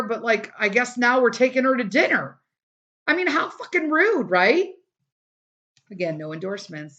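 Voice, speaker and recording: A woman's voice: high-pitched, sharp tone, highs cut off. A middle-aged woman hosting a casual solo podcast, talking bluntly and emphatically with some swearing, her voice a little worn from a day of recording. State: voice starting to give out from a day of recording. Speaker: podcast host.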